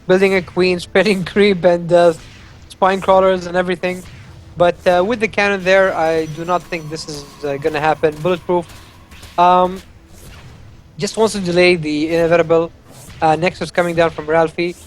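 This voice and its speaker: High-voiced man doing play-by-play. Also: a little hiss or hum. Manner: measured play-by-play with frequent "uh" fillers. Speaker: esports co-caster. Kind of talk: live game commentary.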